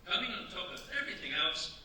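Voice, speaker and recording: A man's voice: high-pitched, lively intonation, pushed and bright, full character voice. A man playing a stern, relentless French official in a staged radio play, speaking formally and accusingly. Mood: stern, probing. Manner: formal, accusatory, deliberate phrasing. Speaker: amateur radio play actor. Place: live theatre stage. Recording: echoey stage, distant mic, faint noise.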